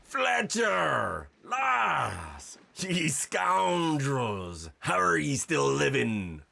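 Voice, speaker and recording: An actor playing a gruff, hearty old sea captain with a salty nautical dialect in an audio adventure. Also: male voice, fairly high pitch, wildly swooping pitch, drawn-out words, pushed and bright, no room sound, shouting, exaggerated voice. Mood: jubilant, amazed. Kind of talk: cinematic audio adventure acting.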